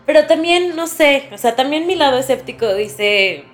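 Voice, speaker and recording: A woman's voice: high voice, animated, normal speed, soft background music. A woman with a Mexican accent chatting casually and informally as a podcast co-host. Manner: informal, conversational interjections. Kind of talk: casual chat.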